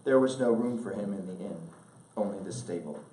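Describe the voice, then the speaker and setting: A man reads a literary letter aloud in a measured, earnest, oratorical way, pausing deliberately, recorded at a distance in an echoing room. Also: deep voice, dark tone. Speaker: speaker reading a letter aloud at an event. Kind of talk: solemn literary letter read aloud to an audience. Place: indoor event room.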